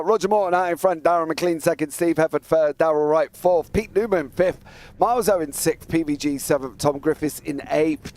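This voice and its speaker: A man's voice: a little high, a little mellow. A British man doing live motor racing commentary, teasing his co-host in easygoing banter and reading out grid positions. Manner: chatty, teasing, reads out grid positions briskly. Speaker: lead race commentator.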